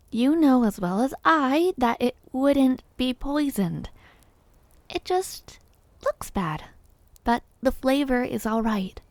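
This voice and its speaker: A woman performs a royal mother in an intimate audio drama, wistful and gently teasing. Her voice is low-lit and mellow, with slow, measured phrasing that often softens toward a whisper. The sound is very dry, with no room around it. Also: no room sound. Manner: measured, soft-spoken, often dropping to a whisper. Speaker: voice actor in audio roleplay. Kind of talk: intimate audio roleplay acting.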